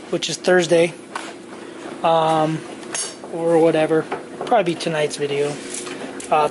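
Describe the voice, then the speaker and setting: Slow, rambling man in a garage. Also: on the high side, background noise. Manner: rambling, slow and offhand, with many fillers and self-corrections. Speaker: channel host. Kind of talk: casual shopping haul vlog. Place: home garage workshop, indoors. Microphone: handheld camera mic, filming point of view.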